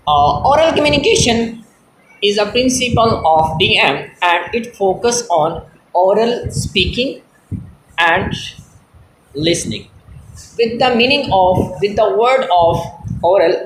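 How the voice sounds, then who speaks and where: A Pakistani-accented man, a native Punjabi speaker, gives an English lesson at an unhurried, slow pace. He circles back, repeats his points and pauses on fillers as he searches for words. His voice carries a roomy echo over a faint background hiss. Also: high voice, a bit bright. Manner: slow, repetitive, hesitant with frequent fillers. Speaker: teacher.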